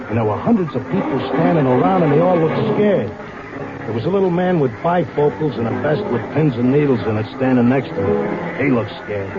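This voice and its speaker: A man's voice: mid-range voice, lightly acted. An actor playing a cynical, streetwise racetrack gambler telling his strange tale in an old radio drama, colloquial and wisecracking. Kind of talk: old radio drama first-person narration. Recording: dull vintage radio recording with music.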